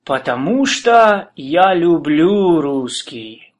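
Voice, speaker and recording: A man playing a polite foreign man who is learning the language, speaking slowly and deliberately. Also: a little high, sing-song, exaggerated voice. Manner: slow, deliberate learner's speech.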